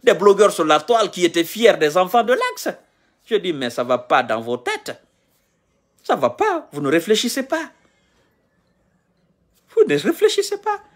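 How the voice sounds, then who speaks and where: Heated man in his thirties, high voice, lecturing, repeating himself, phone at arm's length, small room. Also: big pitch swings, measured pace, pauses often. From Guinea. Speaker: political commentator. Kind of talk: selfie live video rant. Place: indoors, small room, on a sofa.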